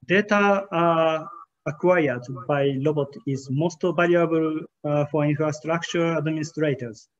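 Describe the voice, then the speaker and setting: Guy giving a keynote on a webcam call, slightly high voice, lots of uh pauses, audio a bit muffled. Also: fairly even intonation, a little hiss or hum. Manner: hesitant, frequent "uh" fillers, careful formal phrasing. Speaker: keynote speaker. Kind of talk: conference keynote presentation over slides. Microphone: webcam on a video call.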